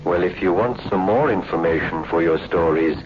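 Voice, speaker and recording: An actor playing a curt, sinister anonymous caller in an old-time radio thriller. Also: male voice, low-pitched, moderate pace, lightly acted. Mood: coldly sinister. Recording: dull, noisy 1960s radio recording.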